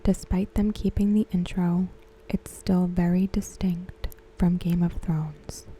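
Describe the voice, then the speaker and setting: Woman, ASMR commentary, breathy near-whisper, lowish pitch, very dark soft tone, hushed and gentle. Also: little pitch movement, a little hiss or hum, poor audio. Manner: hushed, gentle, unhurried delivery. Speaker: ASMR content creator reviewing a TV episode.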